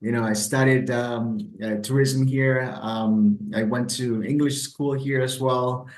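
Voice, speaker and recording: A man's voice: mid-range voice, fairly even intonation, a little slow. Guest: middle-aged man, Costa Rican accent, hesitant, roomy reverb. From Costa Rica. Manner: hesitant, many ums and repeated words. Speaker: travel expert guest. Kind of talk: casual interview answers. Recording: roomy echo.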